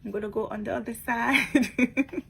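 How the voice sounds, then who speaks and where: A woman's voice: on the high side, fairly even intonation, a little slow, vocal fry, a bit bright, breathy edge. A woman talking casually to her followers in a vlog, chatty and emphatic, often tagging on questions. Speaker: social media blogger.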